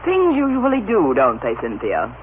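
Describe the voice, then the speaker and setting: Wry lady columnist, very animated intonation. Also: fairly low pitch, normal speed, phone-quality sound. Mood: wry, disapproving. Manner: dry, needling, disapproving. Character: wry, skeptical lady gossip columnist and friend. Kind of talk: old-time radio soap opera acting. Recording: crackly, thin old radio recording.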